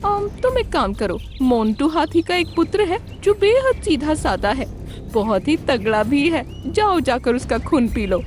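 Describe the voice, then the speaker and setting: A woman doing a bossy, high-pitched cartoon fox. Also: very animated, measured pace, faint music behind. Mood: scheming. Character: bossy, cunning female fox. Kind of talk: children's cartoon character acting.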